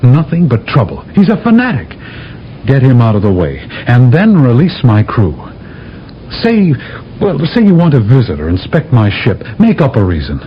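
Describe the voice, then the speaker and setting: A man's voice: mid-range voice, huge pitch swings, moderate pace. An actor playing a persuasive astronaut from Earth in a 1970s radio drama, insistent and theatrical in his delivery. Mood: bold, commanding. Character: bold, persuasive male astronaut envoy from Earth. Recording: dull, noisy old radio broadcast recording.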